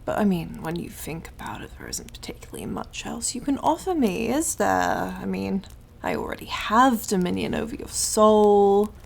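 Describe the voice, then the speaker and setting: A woman's voice: mid-range voice, big pitch swings, moderate pace, gravelly, exaggerated voice. A woman voicing a suave, condescending devil in an ASMR roleplay, drawling and over-polite in a theatrical, taunting way. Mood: smug, taunting. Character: suave, mocking, condescending devil who deals in soul contracts.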